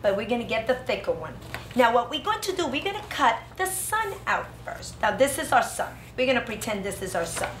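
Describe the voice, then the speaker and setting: High, very lively woman teaching preschoolers. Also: brisk. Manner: sing-song, child-directed, exclamatory. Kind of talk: instructional craft lesson for young children. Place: indoor preschool classroom. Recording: roomy classroom echo, faint background noise.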